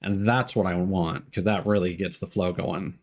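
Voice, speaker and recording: A man giving casual live gameplay commentary as a streamer, low-key and thinking aloud as he plays. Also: steady tone, moderate pace. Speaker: video game streamer. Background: game music.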